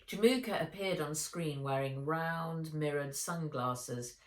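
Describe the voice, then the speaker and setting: A woman reading a children's adventure story aloud with dramatic, deliberate delivery. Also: sits low. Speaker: author reading her own book aloud. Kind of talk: expressive children's audiobook narration. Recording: roomy echo, distant from mic.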